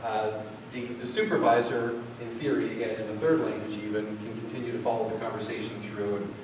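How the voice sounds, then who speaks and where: A man talks an audience through a live software demo, full of fillers and restarts. He is heard from a distance in a very echoey hall. Steady background noise runs under his voice. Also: on the low side, brisk. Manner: rambling, lots of fillers and restarts. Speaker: product demo presenter. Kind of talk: live tech demo, casual. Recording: echoey hall from far off, noisy.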